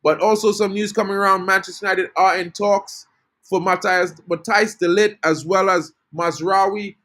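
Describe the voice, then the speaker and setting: Chatty man, higher voice. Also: fairly even intonation, moderate pace, a bit bright. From Trinidad. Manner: chatty, greets chat viewers, says bro a lot. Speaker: football fan channel host. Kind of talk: casual live-stream news update.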